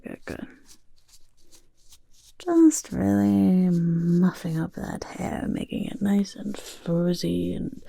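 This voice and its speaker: Casting: female performer for a chaotic goblin overlord, ASMR roleplay; gravelly, breathy whisper, unhurried, self-important and fussy, very close and dry. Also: lowish voice, warm tone, no echo, full character voice. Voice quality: breathy, croaky, raspy whisper. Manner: refers to self in third person, repeats words, fussing. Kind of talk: soft-spoken ASMR personal attention roleplay. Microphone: close, dry ASMR microphone.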